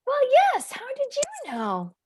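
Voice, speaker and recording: A woman's voice: very high-pitched, wildly swooping pitch, slow pace, a little mellow, heavily acted. A woman playing a flirtatious, melodramatic nightclub dame in a campy 1940s radio noir. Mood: coy, seductive. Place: live community theatre stage performance.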